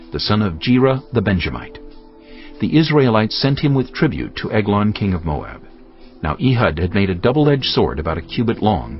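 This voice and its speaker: Deep, dramatic male narrator. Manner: measured, solemn storytelling. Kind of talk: dramatic scripted Bible narration. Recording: dull audio with music behind. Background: music.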